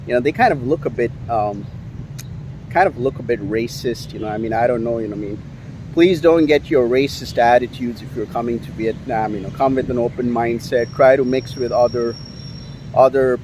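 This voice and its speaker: Man from India, outdoor vlogger with a mid voice, filler-heavy and frustrated, light street noise. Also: medium pitch. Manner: rambling, many fillers, frustrated complaining. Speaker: YouTuber. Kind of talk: casual vlog monologue. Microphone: handheld camera.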